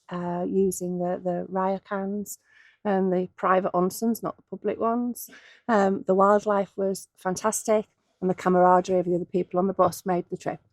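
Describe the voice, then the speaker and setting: A woman from the Isle of Man, a photographer on the tour, speaking in a brisk and cheerful way. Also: mid-range voice, darkish tone, no echo.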